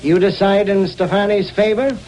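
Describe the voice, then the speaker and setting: A crooked lawyer in an old radio crime drama, played by a man. His voice is high for a man and rather mellow, and he gives orders and threats with cold authority. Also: steady tone, moderate pace, lightly acted. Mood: menacing. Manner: commanding, threatening. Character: menacing crooked lawyer blackmailing a judge. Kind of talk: 1930s-40s radio drama acting. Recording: muffled old radio broadcast.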